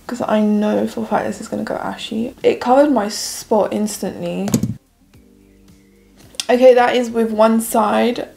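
A young woman in her twenties, a beauty vlogger chatting to camera while reviewing makeup, her voice croaky in the early morning. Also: a little high, steady tone, unhurried, mellow, faint music behind. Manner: chatty, rambling, addresses viewers as guys. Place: plain indoor room with plants.

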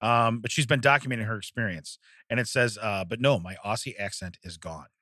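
A man's voice: on the low side, a little fast, pushed and bright, dry recording. A man co-hosting a comedic podcast in a studio, rambling and laughing often as he reads out news stories. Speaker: podcast co-host. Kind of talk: casual comedic podcast chat. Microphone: studio microphone.

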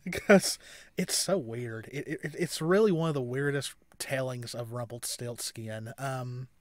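A man in his thirties giving casual, rambling reaction commentary as a co-host, halting and repeating words as he explains. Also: medium pitch, no echo. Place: small indoor room at home.

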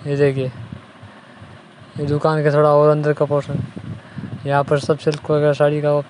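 A man's voice: a little high, completely flat delivery, moderate pace, a little mellow, slight background noise, poor audio. A young man guiding viewers through a daily vlog, showing his way to the shop where he works and the shop itself. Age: young adult. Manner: plain tour-guide pointing out sights. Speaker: vlogger. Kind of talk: casual walk-through vlog. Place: walking from home to a sari shop, indoors and out. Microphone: handheld phone camera.

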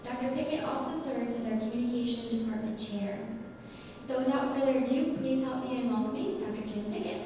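A young woman at a podium reading a formal, measured introduction of a speaker. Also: on the high side, very noisy. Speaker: event host introducing the speaker. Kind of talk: read introduction. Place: large hall with arched windows. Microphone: podium microphone. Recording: echoey hall, far from the mic.

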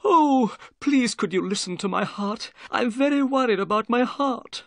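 High, excitable, nervous little man. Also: a little slow, creaky, no echo, clean studio sound, exaggerated voice. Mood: nervous, excited. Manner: exclamatory, high-strung, dramatic storytelling. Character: nervous, excitable little bald middle-aged man, fretful and eager.

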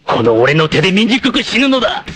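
A man voicing a vain, treacherous martial-arts villain in an anime, with a theatrical, haughty, drawn-out delivery. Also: high-pitched, big pitch swings, fairly bright tone. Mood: gloating, cruel. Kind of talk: dramatic anime voice acting.

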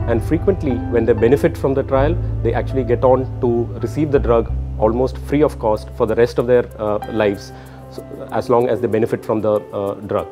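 A man's voice: mid-range voice, dark tone. A middle-aged man with an Indian accent, a physician explaining things in an interview to camera. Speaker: cancer physician. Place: book-lined office indoors. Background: faint music.

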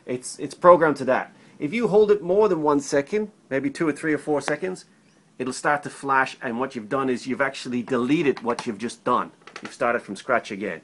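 A man with a medium-pitched voice gives an informal practical demonstration in the role of an electrician. He speaks conversationally, going back over his points and regularly checking that the listener is following. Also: normal speed. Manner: conversational, repeats himself, checks in with "right?". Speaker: electrician demonstrating intercom setup. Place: indoors at a work table. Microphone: handheld camera held by another person, a short way off.

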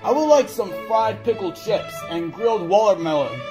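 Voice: mid-range voice, expressive, moderate pace, dark tone, soft background music, lightly acted. An actor voicing a friendly, chatty member of a cartoon band.

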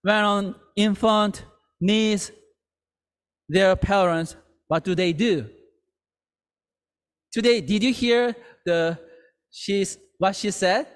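Slow earnest pastor in a reverberant hall. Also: male voice, high voice, smooth. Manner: slow, earnest, with halting restarts. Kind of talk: sermon. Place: church sanctuary.

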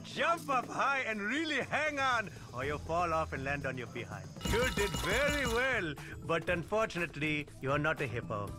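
A bossy cartoon lemur monarch. The voice sits high and swings theatrically from line to line, full of grand self-regard, with game music underneath. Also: male voice, very animated, moderate pace, few pauses. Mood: bossy, self-important. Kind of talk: animated video game acting.

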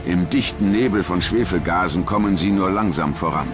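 A man's voice: low voice, normal speed, music in the background. A man narrating a documentary in a scripted style.

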